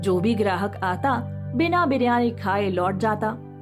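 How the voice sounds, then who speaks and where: A woman's voice: medium pitch, expressive, a little slow, over music. A woman reading a scripted moral story as its narrator.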